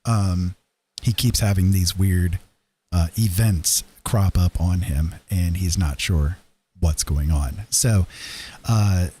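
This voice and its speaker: A man hosts a livestream in a low, dark, husky voice. He rambles casually and hesitantly, with a self-deprecating, laid-back delivery. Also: takes it slowly. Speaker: livestream host. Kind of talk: casual rambling chat to viewers.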